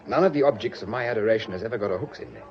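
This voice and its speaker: An actor playing a flippant British gentleman suitor in a radio drama, with wry, clipped banter. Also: fairly low pitch, rapid-fire, vocal fry, muffled recording. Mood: teasing, flippant.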